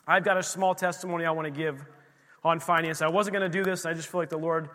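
A man preaching in church, slightly losing his voice, reading scripture slowly and with emphasis. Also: fairly high pitch, fairly even intonation, bright, forceful tone. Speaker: pastor. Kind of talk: sermon. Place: church sanctuary. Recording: long hall reverb of a church.

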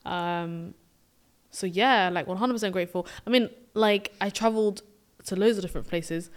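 A young woman with a British accent tells stories in easy podcast chat. She talks in an animated way and breaks into laughter often. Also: mid-range voice, moderate pace, clear, resonant tone. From Sweden. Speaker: podcast co-host. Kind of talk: casual chat.

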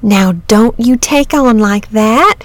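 Storybook country wife and mother, acted by a woman reader: sensible, soothing, homely rustic turns, raised pitch, dry close sound. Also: slow pace, dry recording. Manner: homely rustic phrasing, reassuring. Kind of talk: storybook character reading.

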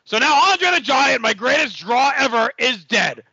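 Raspy, high-strung wrestling boss. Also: male voice, sing-song, moderate pace, sharp tone, no echo, lo-fi sound, heavily acted. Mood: exasperated disbelief. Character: exasperated, bombastic pro wrestling company boss. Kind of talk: comic audio play acting.

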